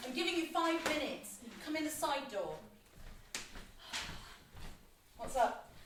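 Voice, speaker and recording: A woman's voice: high voice, big pitch swings, normal speed. A woman playing a studious, cautious teenage girl and loyal best friend in a naturalistic drama. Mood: guarded, practical. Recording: very echoey room, distant mic, faint noise.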